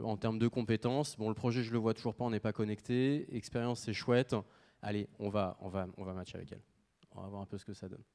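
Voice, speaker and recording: A man's voice: medium pitch, darkish tone. A man in his thirties giving a live product demo on stage, joking casually and with lots of fillers. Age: 30s. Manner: jokey, casual, full of fillers. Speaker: startup pitch presenter.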